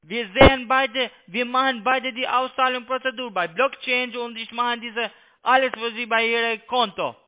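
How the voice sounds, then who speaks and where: A man with a foreign accent, not a native speaker, pushing a halting, repetitive sales pitch over the phone as a call-centre agent. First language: not German. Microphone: telephone. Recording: tinny narrow phone line.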